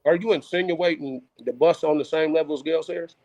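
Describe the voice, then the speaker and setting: A man's voice: a little high, brisk, darkish tone, no echo, rough recording. A man co-hosting a casual sports debate podcast, laid-back but insistent when challenged. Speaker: podcast co-host.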